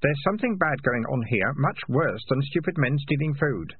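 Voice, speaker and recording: A man's voice: medium pitch, expressive, normal speed, slightly rough, no echo, narrow, tinny audio, pitched above the performer's own voice. A man voicing a serious, determined young man urging his friends. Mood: urgent. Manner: serious, urgent.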